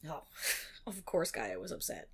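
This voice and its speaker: A woman playing a nerdy, teasing best friend in an ASMR roleplay, rambling through myth with dramatic pauses and wry, animated asides. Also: sits low, creaky, husky, full character voice. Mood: grave storytelling.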